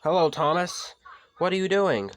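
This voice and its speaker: A man's voice: sits high, animated, moderate pace, fairly bright tone, slight background noise, pitched above the performer's own voice. A man voicing a boastful, cheerful young male fire engine in a storybook. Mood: cheerful boasting. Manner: cheerful, overconfident.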